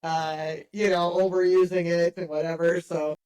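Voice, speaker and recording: A woman in her fifties with a gravelly voice, chatting as a podcast guest and laughing often. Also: on the low side, moderate pace, poor audio. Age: 50s. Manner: laughs often, lively storytelling. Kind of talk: casual chat. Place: a workshop.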